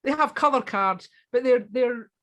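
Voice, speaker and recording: A woman's voice: fairly high pitch, moderate pace, a bit bright, dull audio. A woman guest in a casual interview, talking with enthusiasm and often restarting her sentences. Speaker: guest on spiritual practice.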